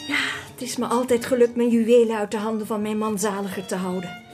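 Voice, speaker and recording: A woman's voice: fairly high pitch, level tone, fast, darkish tone, faint music behind, lightly acted. An actress playing a genteel, scheming widowed lady in a radio drama, speaking politely and formally. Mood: gracious, composed.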